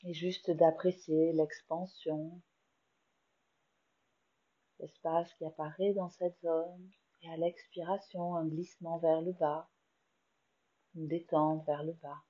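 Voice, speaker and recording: Casting: French woman, meditation teacher, very slow calm delivery, slightly muffled lo-fi sound. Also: sits low. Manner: slow, soft, soothing guidance with long pauses. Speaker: yoga nidra teacher. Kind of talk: guided meditation.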